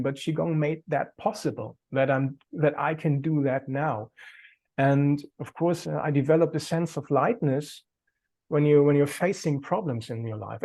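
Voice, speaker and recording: A man's voice: fairly high pitch, mellow. A man with a German accent, a native German speaker, talking earnestly as a qigong teacher on a video call, sometimes searching for words.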